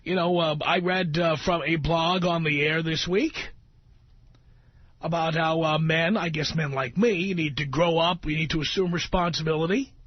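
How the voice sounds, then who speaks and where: A middle-aged American man hosting talk radio with an opinionated monologue, speaking in an emphatic, sardonic way with deliberate phrasing. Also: a little high, steady tone. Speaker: radio talk show host. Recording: dull, roomy radio recording with music.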